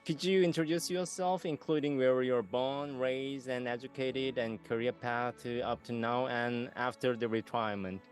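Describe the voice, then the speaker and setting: A man's voice: mid-range voice, steady tone, normal speed, hardly any pauses, soft background music. A man in his thirties or forties hosting an interview show, introducing and questioning a guest. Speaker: interview host. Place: small indoor music room.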